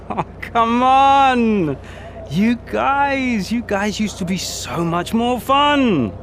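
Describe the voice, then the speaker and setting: A man's voice: very high-pitched, sing-song, very slow, a bit bright, slight background noise, heavily acted. An actor playing a smug, cocky young racing driver who taunts his rivals sarcastically. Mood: mocking.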